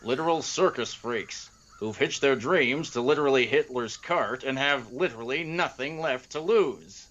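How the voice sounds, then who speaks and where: A man's voice: medium pitch, moderate pace, few pauses, fairly bright tone, natural voice. An actor playing an elderly man in an audio comedy, emphatic, theatrical and earnest, with a dull lo-fi sound. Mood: emphatic, rueful. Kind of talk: audio comedy drama acting.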